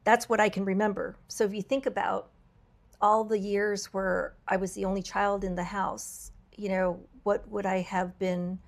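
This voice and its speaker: Slightly raspy woman, reflective podcast host. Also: medium pitch, little pitch movement, normal speed. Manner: earnest and reflective, many fillers and restarts. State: slightly raspy voice from travel fatigue. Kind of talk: candid podcast conversation.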